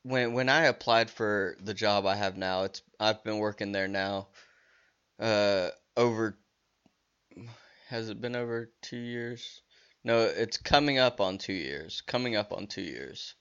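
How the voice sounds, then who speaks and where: A man's voice: fairly low pitch, unhurried, fairly bright tone, smooth, lo-fi sound. A man hosting a casual solo podcast, rambling with many restarts and fillers while he is losing his voice. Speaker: podcast host.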